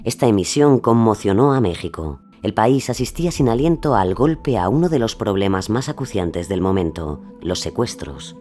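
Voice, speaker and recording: Casting: male docu-podcast narrator, warm dark tone, animated intonation, scripted, over light music. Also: on the low side, normal speed. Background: faint music.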